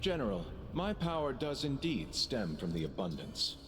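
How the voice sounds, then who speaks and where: A man acting as an earnest warrior from a video game, with formal, dramatic speech and a very lively pitch. The game audio is noisy, as if caught on a stream. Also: moderate pace, close to an everyday voice. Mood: grave appeal.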